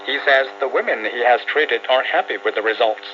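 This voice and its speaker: A man's voice: mid-range voice, a little fast. A man reading scripted news narration as a radio reporter. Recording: muffled shortwave radio, steady hiss. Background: radio static and hum.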